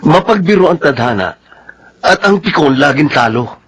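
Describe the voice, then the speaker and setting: A man's voice: on the high side, expressive, moderate pace, a bit bright, husky. An actor playing a preachy male confidant who gives sententious advice, with a Filipino accent, in radio drama style. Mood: admonishing. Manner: sententious, emphatic. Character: high-voiced, preachy male confidant dispensing life advice.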